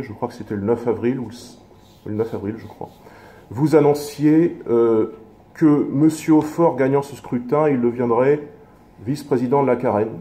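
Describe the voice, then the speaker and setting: A man speaking as an opposition councillor in a formal political speech, measured, with halting, self-corrected phrasing. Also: mid-range voice, dark tone.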